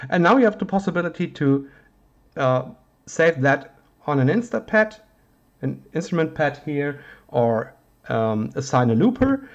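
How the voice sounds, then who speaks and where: A man with a German accent, a native German speaker, giving an informal hands-on synthesizer tutorial, haltingly searching for words in non-native English. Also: on the high side, big pitch swings, dull audio.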